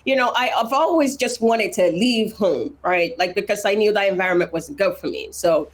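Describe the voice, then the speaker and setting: A woman's voice: mid-range voice, normal speed. A woman in her thirties from Nigeria with a Nigerian accent, telling her life story earnestly as an interview guest. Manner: earnest, emphatic, repeats phrases for weight.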